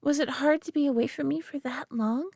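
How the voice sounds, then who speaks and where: A woman's voice: high voice, brisk, no echo, clean studio sound. A woman voicing a prideful, doting alien who owns a human pet, teasing and condescending yet affectionate in an intimate audio roleplay. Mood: teasing, coaxing. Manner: teasing, condescending, affectionate, often hushed and breathy.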